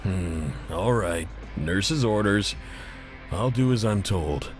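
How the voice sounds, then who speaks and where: An actor playing a kindly, obliging supervisor in a video game, friendly in manner. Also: male voice, fairly low pitch, animated, slow. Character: kindly middle-aged male supervisor, warm and obliging. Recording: game dialogue with background music.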